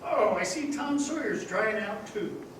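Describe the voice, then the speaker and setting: Man as innocent old apprentice angel, high male voice, unhurried, earnest radio acting, very echoey stage. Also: animated, a bit bright, slight background noise. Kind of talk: live old-time radio drama acting. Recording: echoey theater stage from a distance.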